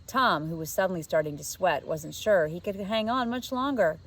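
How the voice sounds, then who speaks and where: A woman narrating a children's story aloud in an expressive read-aloud style, like a teacher. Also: lowish voice, normal speed, breathy edge.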